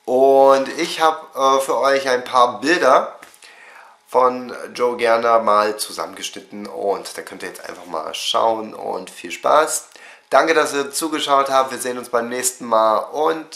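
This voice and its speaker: A man's voice: medium pitch, unhurried, vocal fry, fairly bright tone, roomy sound. A young man in his twenties with a cold, casually recapping the week for his fan channel on a webcam at home, full of fillers. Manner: chatty, many fillers, talks straight to camera. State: has a cold, voice sounds off. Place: small room at home.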